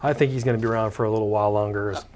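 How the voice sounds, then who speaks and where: A man's voice: on the low side, steady tone, racing, a little mellow, a little hiss or hum. A middle-aged man, a guest panelist on a local sports talk show, speaking hesitantly with many fillers in a small studio on a lapel mic. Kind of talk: casual sports chat. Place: small brick-walled TV studio set.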